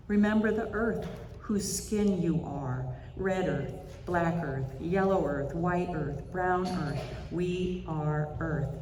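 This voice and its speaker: A service leader speaks slowly and deliberately in a big echoing hall. The voice sits at a distance from the microphone, with the measured phrasing of church announcements and readings. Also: medium pitch, mellow. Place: church sanctuary during a service. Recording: echoey hall, distant mic, faint noise.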